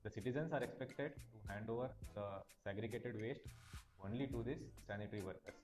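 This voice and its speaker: A man's voice: mid-range voice, brisk, a little mellow. A man with an Indian accent giving a formal explanation as a municipal official. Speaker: municipal commissioner. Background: music.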